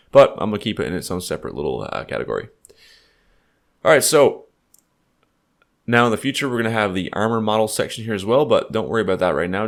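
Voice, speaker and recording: A man with a stuffed-up, slightly nasal voice, explaining code casually in a tutorial, thinking aloud with lots of fillers. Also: on the low side. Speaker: game development tutor. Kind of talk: screen-recorded coding tutorial.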